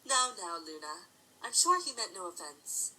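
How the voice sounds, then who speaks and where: A woman playing a composed, regal mare ruler in an audio drama, speaking formally and in measured phrases with courteous authority. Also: on the low side, big pitch swings, sharp tone, a little hiss or hum, exaggerated voice, rough recording. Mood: gently probing.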